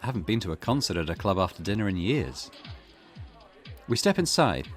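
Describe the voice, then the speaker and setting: Wry, lowish-voiced male gamer, animated. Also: fairly quick. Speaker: gaming video host. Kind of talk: casual game commentary and reading narration aloud. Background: game music.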